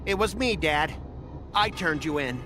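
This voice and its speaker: A man's voice: on the high side, expressive, a little slow, background noise. An actor playing a self-absorbed, coolly ruthless young man in a video game, speaking in a dramatic, reflective way.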